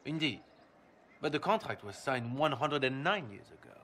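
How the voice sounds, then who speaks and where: A man's voice: mid-range voice, animated, normal speed, pushed and bright, slight background noise. An actor playing a courtly, calculating nobleman in a video game, with a gracious and formal manner. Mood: grave, knowing. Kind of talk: video game cutscene acting.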